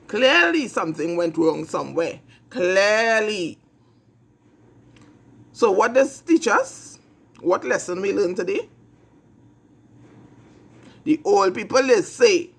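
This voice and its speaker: A man's voice: high-pitched, vocal fry, fairly bright tone. A man with a Trinidadian accent hosting his own talk show, giving a blunt, emphatic opinion monologue. He repeats his points for emphasis and swears freely. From Trinidad and Tobago. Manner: emphatic, repetitive, blunt and profane. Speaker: host of an online talk show.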